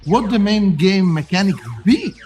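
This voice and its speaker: Lively, teasing Italian-accented man hosting. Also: high-pitched, moderate pace. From Italy. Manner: joking, teasing. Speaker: livestream host. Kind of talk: casual livestream chat, reading audience questions. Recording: music playing behind. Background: music.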